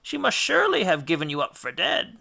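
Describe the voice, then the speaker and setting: Storybook ghost mother, played by a man: thin, very high, sorrowful and fretful. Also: huge pitch swings, brisk, gravelly, bright, forceful tone, full character voice. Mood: reproachful, fretful. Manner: plaintive, questioning. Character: mournful ghost of a hero's elderly mother.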